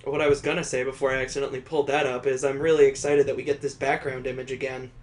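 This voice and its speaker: A man's voice: mid-range voice, little pitch movement, very fast. A man hosting a let's play, reading a visual novel aloud and adding sarcastic joking asides.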